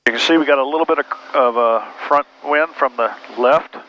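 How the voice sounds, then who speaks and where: A middle-aged American man, a pilot, calmly explaining things in casual cockpit talk and radio calls. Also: medium pitch, brisk. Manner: calm, explanatory. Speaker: pilot and flight mentor. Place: small airplane cockpit in flight. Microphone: aviation headset. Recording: muffled aviation headset, cockpit noise.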